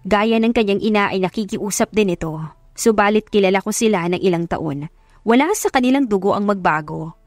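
A woman's voice: medium pitch, a little fast, dry recording, studio quality. A woman narrator reading a scripted first-person love story as a dramatic audiobook.

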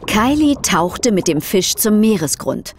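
A woman's voice: mid-range voice, sing-song, measured pace, background noise. A woman narrating a children's audio story in a scripted storybook style. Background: music under the voice.

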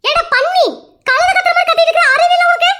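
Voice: very high voice, fairly even intonation, fast talker, pushed and bright. A performer voicing a short-tempered middle-aged mother who scolds and complains. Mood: exasperated. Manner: scolding, exasperated.

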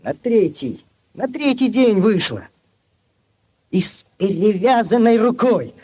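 An actor playing an ardent young village lad telling a legend theatrically in a 1940s radio play. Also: male voice, sits high, slow, slightly rough, lo-fi sound, exaggerated voice. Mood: dramatic, urgent. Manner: theatrical, expressive storytelling. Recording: 1940s radio recording with music.

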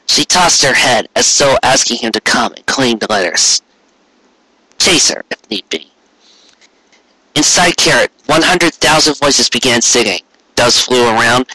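Bright-voiced man reading a story. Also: mid-range voice, no room sound, low-quality recording. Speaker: fan fiction reader. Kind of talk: expressive storybook narration with character voices.

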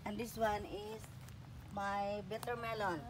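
An older woman with a Filipino accent, naming her plants in short, halting English while showing her backyard garden. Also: medium pitch, little pitch movement, a crowd in the background. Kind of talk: casual chat. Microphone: phone camera held by the other speaker. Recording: outdoor noise clearly audible.

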